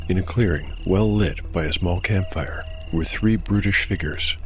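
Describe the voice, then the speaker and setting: Man, low-pitched voice, scripted dark fantasy narration, deliberate delivery, music underneath. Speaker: host and narrator of a solo tabletop fantasy audiodrama.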